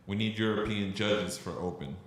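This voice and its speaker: Heard from a distance with heavy room echo, a man speaks in a fairly low voice. He casually relays comments as a helper on a show. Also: steady tone, moderate pace. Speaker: off-camera helper reading viewer comments. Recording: very echoey, distant from the mic.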